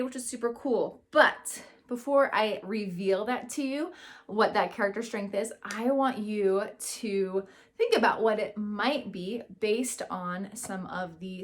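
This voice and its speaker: Slow-paced woman reading aloud to children. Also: mid-range voice. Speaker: school educator reading to children. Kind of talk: read-aloud storytelling lesson for children.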